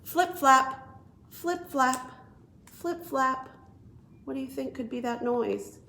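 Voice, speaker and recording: A woman reading a picture book aloud to young children as a children's librarian, expressive and deliberate. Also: sits high, vocal fry, a little hiss or hum. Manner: expressive, deliberate, asks listeners questions. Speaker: children's librarian and storytime reader.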